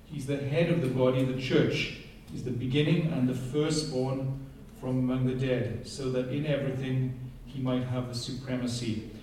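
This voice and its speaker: A man's voice: medium pitch, little pitch movement, moderate pace, hardly any pauses, a little mellow, slight background noise. A man with a Welsh accent preaching a passionate sermon and reading scripture aloud, often picking up speed as he goes. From Wales. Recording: very echoey hall, heard from a distance.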